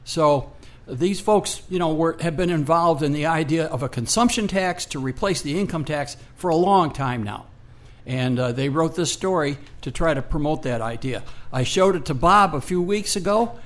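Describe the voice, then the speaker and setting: An American man co-hosting a radio show, explaining background in an unscripted, halting way. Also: fairly high pitch. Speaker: radio co-host.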